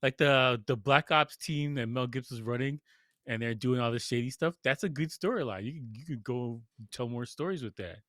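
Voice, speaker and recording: A man's voice: medium pitch, normal speed, fairly bright tone, no echo, studio quality. A man co-hosting a podcast, giving blunt, opinionated film reviews in casual conversation. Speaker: podcast co-host reviewing films.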